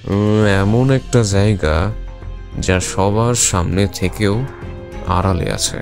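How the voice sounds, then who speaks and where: Calm investigator, low voice, deliberate pauses, audio-drama delivery over clear music. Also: male voice. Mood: calm, probing. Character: calm, watchful male police detective.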